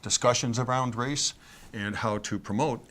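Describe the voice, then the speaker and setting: A man's voice: medium pitch, normal speed, fairly bright tone. A middle-aged man, a school superintendent co-hosting a talk show, speaking with many ums and uhs. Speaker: superintendent and co-host. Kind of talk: casual studio chat.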